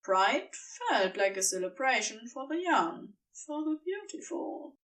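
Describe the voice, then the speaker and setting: Slow, expressive woman narrating fiction. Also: on the high side, far from the mic, poor audio. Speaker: audiobook narrator.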